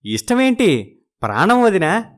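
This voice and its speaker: A man's voice: sits high, wildly swooping pitch, measured pace, heavily acted. A man playing a genial, food-loving village brother-in-law in a moral story, effusive and full of exclamations. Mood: delighted.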